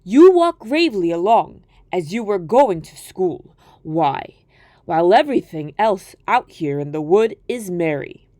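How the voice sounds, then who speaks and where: A woman's voice: lowish voice, big pitch swings, measured pace, mellow, dry recording. A woman voicing a crafty, hungry male wolf in a storybook, coaxing and scheming.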